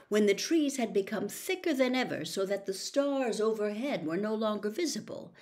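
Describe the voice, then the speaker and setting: A woman's voice: medium pitch, a little mellow. A woman reading a children's fantasy novel aloud as a storybook narrator. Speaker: audiobook reader.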